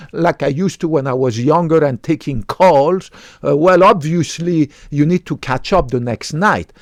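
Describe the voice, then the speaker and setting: A man's voice: fairly high pitch, no room sound, clean studio sound. A man, a medical doctor, giving emphatic health advice straight to his viewers, tagging lines with "right?". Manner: emphatic, repeats commands, tags lines with "right?". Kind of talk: direct-to-camera health talk.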